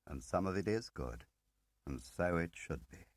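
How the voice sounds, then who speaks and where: A man reading the part of an ageing spymaster in an audiobook, deliberate and probing, pausing between phrases and pressing with questions. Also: sits low, lively intonation, no room sound. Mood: measured, explaining. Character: ageing male spymaster, measured, probing, quietly relentless. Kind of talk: dramatic audiobook character reading.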